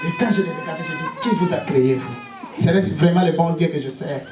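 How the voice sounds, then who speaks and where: Fervent preacher in an echoey church. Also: male voice, on the high side, brisk, very noisy. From Congo. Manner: fervent, punctuates with repeated hallelujahs, sometimes shouts; stumbles and repeats words. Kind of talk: sermon with personal testimony. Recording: roomy church hall, mic at a distance, congregation audible. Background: congregation responding.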